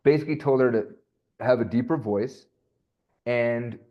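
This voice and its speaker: A male voice coach guest in a casual podcast interview, talking in an animated, rambling way with lots of fillers. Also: medium pitch, normal speed. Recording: dull lo-fi sound.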